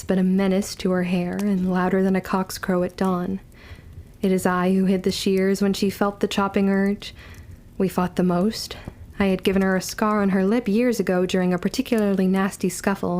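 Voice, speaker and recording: An actress playing a young orphan witch who speaks in formal, measured, old-fashioned words, wry, as in period audio drama narration. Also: medium pitch, warm tone, close to an everyday voice.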